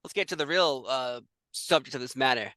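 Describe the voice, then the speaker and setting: Upbeat male interviewer, very bright voice, dry close sound. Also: mid-range voice, fairly quick, no room sound. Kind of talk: interview questions.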